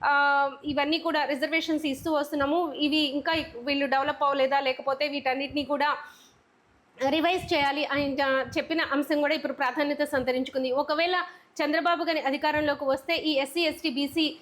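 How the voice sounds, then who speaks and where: A woman's voice: sits high, level tone, a little fast, fairly bright tone. A woman presenting political news commentary in a wordy, explanatory way that circles back over phrases.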